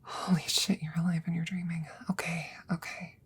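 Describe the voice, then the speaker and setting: A woman playing an anxious, caring scientist in a whispered ASMR audio roleplay, halting and repeating herself nervously. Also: on the low side, flat delivery. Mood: awed, nervous. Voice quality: breathy, husky whisper. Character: anxious female scientist tenderly saving her dying best friend.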